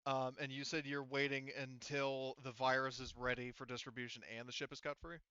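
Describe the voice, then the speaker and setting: A man runs a casual tabletop roleplaying game as game master. His voice is crisp and forward, with a halting way of talking as he confirms details. The sound is dry and a little muffled. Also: medium pitch, little pitch movement, sharp tone, dry recording. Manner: halting, checking details back with players.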